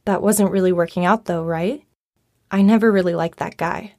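A young woman acting a supportive, frank best friend in a naturalistic audio drama, wry and gentle. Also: fairly low pitch, steady tone, moderate pace.

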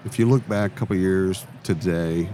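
Man, low-pitched, casual and affable podcast host; faint live event noise. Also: a little fast, warm tone. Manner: friendly, casual, frequent affirmations. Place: trade event booth.

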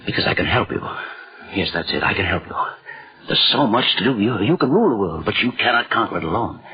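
Old radio thriller accomplice: halting, needy man, lowish voice, muffled lo-fi recording. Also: very animated, husky, heavily acted. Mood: eager, pleading. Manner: halting, stammering, pleading. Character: bewildered, stammering man turned greedy for power.

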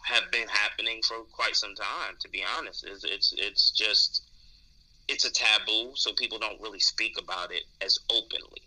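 Deep, very bright-voiced man talking candidly. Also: steady tone, normal speed, poor audio. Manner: hesitant, thoughtful, repeats words while explaining. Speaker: podcast co-host discussing dating. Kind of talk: candid conversational talk.

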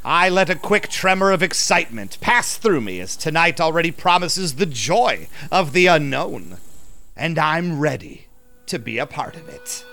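A man's voice: on the high side, sing-song, normal speed, gravelly, sharp tone, exaggerated voice. An actor playing a shady, smug vampire businessman who narrates his audio drama with sly, mock-polite theatricality. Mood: thrilled. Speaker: narrating lead character.

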